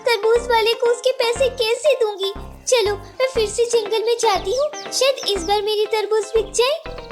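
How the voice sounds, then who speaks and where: Very high-pitched, earnest mother bird. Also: normal speed, over music. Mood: worried. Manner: earnest, emotional, thinking aloud. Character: hard-working, sorrowful mother bird, tender and self-blaming. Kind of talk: Hindi children's cartoon voice acting.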